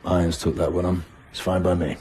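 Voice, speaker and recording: Cocky low-voiced soldier played by a man. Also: little pitch movement, brisk, warm tone, close to an everyday voice. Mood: easygoing. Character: cocky, teasing seasoned soldier. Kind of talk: video game cutscene acting.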